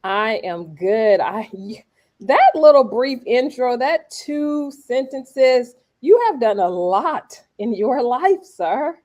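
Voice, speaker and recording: A woman's voice: on the high side, expressive, takes it slowly, darkish tone. A woman hosting a podcast, thanking her guest and asking questions in a gracious way. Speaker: podcast host. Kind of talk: casual interview.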